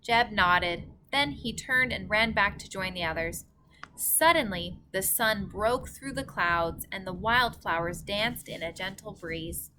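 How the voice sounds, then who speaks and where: A woman reads a children's storybook aloud in a bright, forward voice. She takes her time like a teacher with young listeners, pronouncing things carefully, with some noise behind her. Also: mid-range voice, unhurried, low-quality recording. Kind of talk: storybook read-aloud narration.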